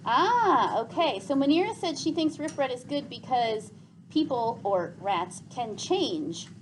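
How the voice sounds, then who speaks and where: A woman speaks as a teacher leading a read-aloud and class discussion. Her voice sits a little high and moves slowly, restating points for the group. There is clear noise behind her. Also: big pitch swings, low-quality recording. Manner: restates and sums up what others say.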